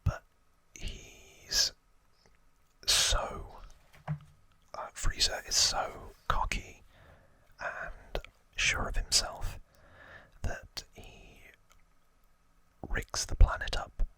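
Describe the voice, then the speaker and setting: A man hosting ASMR, whispering informal trivia narration in a hushed, rambling way with casual asides. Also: drawn-out words, long pauses, no room sound. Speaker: ASMR host.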